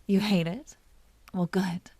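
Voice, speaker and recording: A mellow, husky vampire duchess, voiced by a woman murmurs close and dry, often slipping into a breathy whisper. She is imperious and possessive, teasing with a tender streak, in the manner of an intimate audio drama. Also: medium pitch, fairly even intonation, slow, no echo. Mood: possessive, playful. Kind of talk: intimate scripted audio roleplay.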